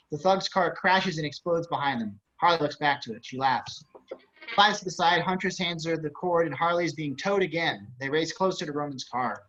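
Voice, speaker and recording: A man's voice: a little high, fairly bright tone. A man reading scripted action narration and stage directions over a video call. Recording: dull video-call audio.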